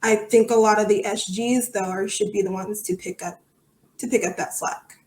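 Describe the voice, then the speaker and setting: A woman's voice: medium pitch, steady tone, normal speed, a little mellow, a little hiss or hum. A woman in her thirties giving candid, emphatic, opinionated answers in a conversational interview. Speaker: podcast guest, soccer supporter. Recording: echoey room.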